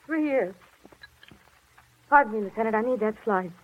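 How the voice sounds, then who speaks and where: An actress playing a cool, guarded doctor's nurse, clipped and formal in 1940s radio drama style. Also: female voice, on the high side, moderate pace, close to an everyday voice. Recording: thin, phone-like vintage radio recording.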